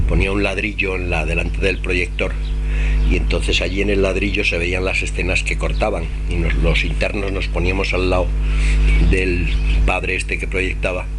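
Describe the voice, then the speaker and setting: Older man reminiscing, very deep voice. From India. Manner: unhurried, rambling, with pauses and restarts. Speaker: filmmaker. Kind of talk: reminiscing monologue. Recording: steady background noise.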